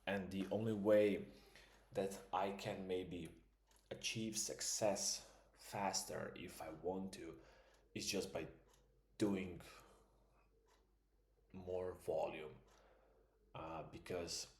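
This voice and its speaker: A man's voice: sits low, flat delivery, slow, pauses often, a little mellow, roomy sound. A man, an English speaker, musing in a casual vlog and thinking aloud with plenty of fillers. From Croatia. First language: Croatian. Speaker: vlogger. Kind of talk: casual reflective monologue.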